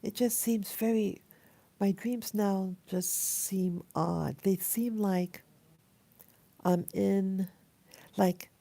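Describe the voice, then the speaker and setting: A woman in her late fifties with an American accent, speaking haltingly and reflectively in a casual personal vlog, her voice hoarse from having just woken up. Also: mid-range voice, fairly even intonation, mellow, no echo. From Queens, New York City, USA. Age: 50s, almost sixty. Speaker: vlogger. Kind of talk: casual personal dream journal.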